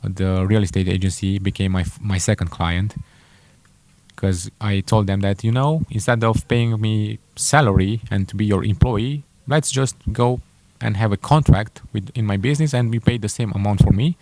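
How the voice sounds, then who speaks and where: Young man, Romanian accent, very low voice, dry close sound, halting speech with fillers, casual vlog talk. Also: a little mellow, dry recording. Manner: halting, many fillers and self-corrections. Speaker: entrepreneur vlogger. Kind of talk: casual personal story to camera.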